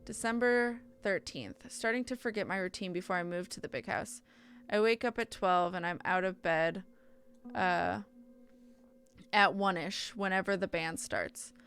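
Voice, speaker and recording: A woman's voice: medium pitch, fairly even intonation, measured pace, a bit bright. A woman streaming a visual novel, reading the text aloud with casual asides and often stumbling and correcting herself.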